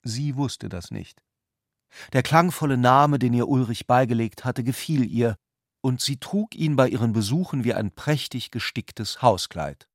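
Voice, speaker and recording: A man reading scripted literary narration for a radio play in a measured, articulate way. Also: mid-range voice, dry recording, studio quality.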